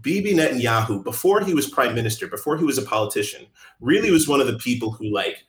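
A man's voice: medium pitch, lively intonation, fast. A man in his usual middle register chats wryly on a podcast, breaking into laughter often. His voice carries a noticeable echo from the room around him. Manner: wry, laughs often, talks in bursts. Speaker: podcast host. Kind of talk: casual podcast chat.

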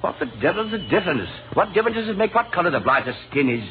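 An actor playing a breezy, good-natured cavalry lieutenant with a chummy, slangy, slightly hesitant manner in an old radio drama. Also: male voice, high voice, big pitch swings, racing, narrow, tinny audio. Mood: hearty. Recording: thin, lightly noisy old radio recording.

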